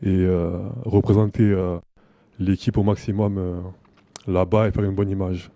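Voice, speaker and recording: A man introducing himself to a group as a basketball player, pausing often with fillers. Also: sits low, level tone, warm tone, dry recording. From France. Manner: hesitant, many fillers. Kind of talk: self-introduction.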